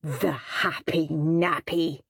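A woman's voice: on the low side, lively intonation, slow pace, gravelly, a little mellow, no room sound, clean studio sound, exaggerated voice. A woman voicing a naughty, scheming young boy who sneers and snaps at his brother. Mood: sneering. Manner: sneering and bratty.

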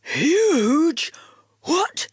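A woman voicing an excitable, impatient male pet dog in a children's storybook, eager and exclamatory. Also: sits high, drawn-out words, dry recording, clean studio sound, voice pushed up, full character voice. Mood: excited. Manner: eager, exclamatory, repeats words.

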